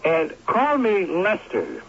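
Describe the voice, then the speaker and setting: An actor playing a terse, stubborn man courting an old flame in a radio drama, with a gravelly, husky voice. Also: high voice, huge pitch swings, slow pace, noisy background, heavily acted. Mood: gruff, wry. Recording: muffled old radio broadcast.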